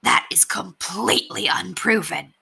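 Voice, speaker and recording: Brief: bearded bandit leader, male, storybook, gruff and impatient, grumbling orders with forceful edge, acted by a woman. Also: on the low side, measured pace, exaggerated voice. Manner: grumbling, commanding.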